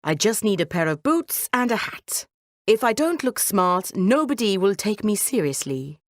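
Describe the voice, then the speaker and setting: A woman voicing a clever, confident male cat with a smooth-talking, courtly manner in a storybook tale. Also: mid-range voice, sing-song, moderate pace, dry recording, clean studio sound, close to an everyday voice. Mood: confident, persuasive.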